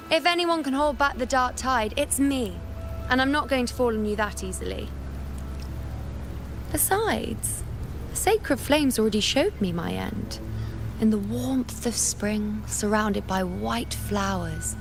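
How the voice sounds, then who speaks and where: Poised, proud young priestess. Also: female voice, on the high side, animated, unhurried, over music. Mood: tender, assured. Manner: haughty, poised, playful. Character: proud, teasing young priestess, self-assured yet tender. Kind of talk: video game voice acting.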